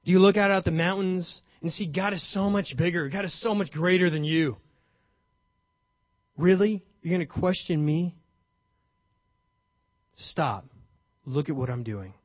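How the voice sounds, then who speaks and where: A young adult man preaching a sermon, lively and playful, acting out paraphrased dialogue with sudden emphasis. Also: sits high, measured pace, long pauses, dry recording. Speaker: pastor. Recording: dull, slightly lo-fi, dry sound.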